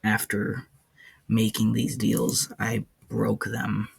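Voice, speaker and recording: A man playing a weary, haunted ancient warden in an audio log, speaking in a halting, somber way with a gravelly, husky low voice. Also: completely flat delivery, no room sound, full character voice. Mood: weary, grim. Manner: halting, somber, hesitant delivery. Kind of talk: character audio log, slow monologue.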